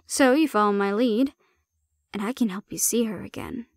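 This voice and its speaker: A woman's voice: medium pitch, normal speed, dry recording, natural voice. A woman plays a bold female handler in an audio drama, recorded dry and close. She is sardonic and blunt, teasing but assertive, and sounds completely unintimidated. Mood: firm, challenging. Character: bold, cocky non-human female handler, sardonic and unafraid. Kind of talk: audio roleplay acting.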